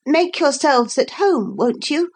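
A proud young boy from a storybook adventure, played by a woman reader. He is grumpy and awkward, speaking abruptly in a raised, high voice. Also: takes it slowly. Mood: choked back.

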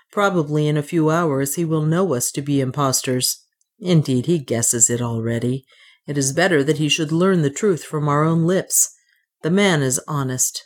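A woman reading an audiobook, voicing a determined Englishman who talks earnestly and resolutely. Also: sits low. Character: adult Englishman Leonard, steady and determined.

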